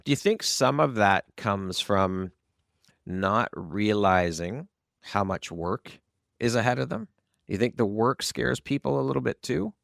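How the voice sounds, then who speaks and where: Gravelly-voiced man hosting a podcast. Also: on the low side, lively intonation, measured pace, fairly bright tone, no room sound, studio quality. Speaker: podcast host. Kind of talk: conversational podcast interview.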